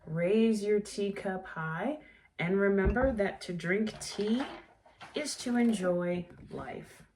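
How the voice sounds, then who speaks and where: A woman with a stuffy, nasal voice from a cold, hosting a tea vlog in a gentle, savoring way and leading a guided breathing meditation. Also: medium pitch, slow pace, slight background noise. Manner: gentle, savoring, softly enthusiastic. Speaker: tea and book channel host.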